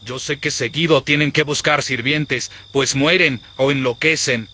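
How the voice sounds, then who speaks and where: A man's voice: medium pitch, fairly even intonation, unhurried, bright, forceful tone, a little hiss or hum, voice pushed up. A man voicing a knowing, grim colonial townsman who gossips conspiratorially in a horror radio drama.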